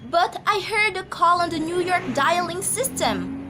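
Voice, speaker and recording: A woman's voice: extremely high pitch, expressive, unhurried, bright, forceful tone, soft background music, exaggerated voice. A woman acting an anxious, demanding bedridden wife in an amateur radio drama, insistent and agitated. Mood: distressed. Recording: noisy amateur home recording.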